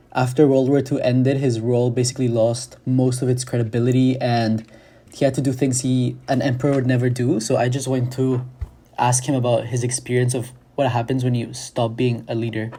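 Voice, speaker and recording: A man's voice: mid-range voice, mellow. A young man from Chile who grew up in Argentina, a student guest on a podcast explaining things at length with the odd restart. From from Chile, raised in Argentina. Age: young adult. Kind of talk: casual interview answers.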